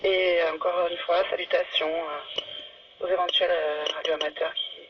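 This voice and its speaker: Over a two-way radio, a man chats in a halting, courteous and slightly formal way. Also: high-pitched, little pitch movement, husky. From France. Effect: two-way radio transmission. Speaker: novice radio operator. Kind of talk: casual two-way radio chat. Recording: very noisy, muffled two-way radio transmission.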